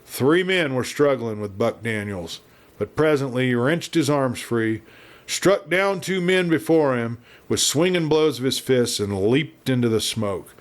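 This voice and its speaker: A man reads a Western adventure as an audiobook narrator. His pitch is mid-range for a man. The delivery is dramatic and theatrical, shaped as scripted storytelling. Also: expressive, unhurried.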